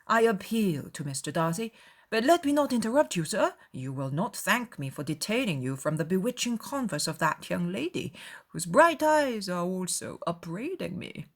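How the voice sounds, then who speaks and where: A woman voicing an effusive, courtly older gentleman who flatters with pompous, ornate politeness in an audiobook dramatization. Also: on the low side, normal speed. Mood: effusive, flattering.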